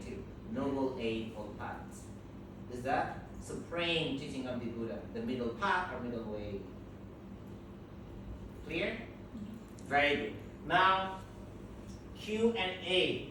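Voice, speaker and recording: A man, a Buddhist monk, teaching a group in slow, emphatic, non-native English and leading them in repeating terms. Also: a little high. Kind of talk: teaching a group, call and response. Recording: echoey room, from far off, with noise.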